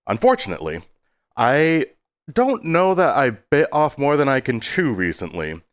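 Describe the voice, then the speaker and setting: A man's voice: medium pitch, huge pitch swings, moderate pace, dry recording, clean studio sound. A man giving a casual, rambling video update in a self-deprecating way, stopping and starting and slipping into exaggerated tones.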